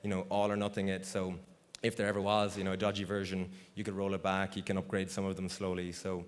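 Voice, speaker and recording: A man's voice: deep voice, monotone, fast. A man speaking on a conference stage, answering audience questions in a loose, casual way full of fillers. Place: large conference hall stage.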